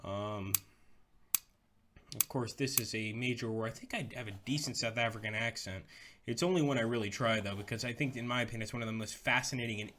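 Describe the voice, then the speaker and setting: A man's voice: mid-range voice, fairly bright tone, a little hiss or hum. Rambling man streaming a strategy game. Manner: rambling, chats with viewers, talks through moves. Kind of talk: casual live-stream game commentary.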